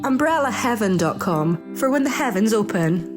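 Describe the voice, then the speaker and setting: A woman's voice: medium pitch, lively intonation, normal speed. A woman reading a scripted radio advert as a voice-over. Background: music bed.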